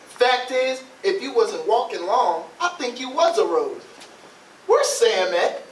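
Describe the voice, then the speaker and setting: A man acting a flirtatious, cajoling man in a stage play, with an animated, sweet-talking manner. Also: extremely high pitch, slow, full character voice. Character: flirtatious, cajoling Southern man on a train outing. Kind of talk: readers theatre stage acting. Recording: echoey, distant, noisy ambience.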